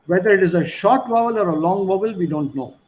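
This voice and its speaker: High-pitched Indian-accented male teacher. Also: animated, fast, a little mellow. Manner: didactic, repeats and demonstrates sounds. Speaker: language teacher. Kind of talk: online language lesson.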